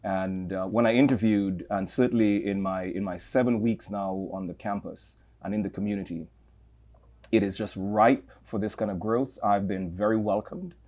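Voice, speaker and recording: A middle-aged man with a Trinidadian accent, speaking as an interview guest in a measured, reflective way, often repeating words as he gathers his thoughts. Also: lowish voice, fairly even intonation, a little mellow. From Trinidad and Tobago. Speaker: campus leader, guest. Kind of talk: interview conversation.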